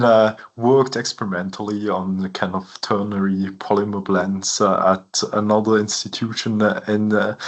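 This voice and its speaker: Swiss professor giving a hesitant seminar. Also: male voice, on the low side. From Switzerland. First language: French and German. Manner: frequent fillers, relaxed and hesitant phrasing. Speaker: guest lecturer, professor. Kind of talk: academic seminar talk.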